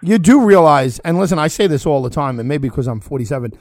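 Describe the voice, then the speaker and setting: A man in his forties hosting a radio interview, brash, with excitable interjections. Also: a little high, quick pace, no room sound. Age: 40s. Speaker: radio talk show host.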